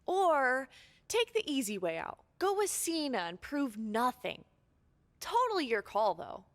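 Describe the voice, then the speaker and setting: Snarky wrestler cohost in a game, high voice, takes her time, dry and teasing. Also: big pitch swings, unhurried. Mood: needling. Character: sardonic, unimpressed female wrestler co-host. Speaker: wrestler co-hosting a show. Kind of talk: scripted video game cutscene acting.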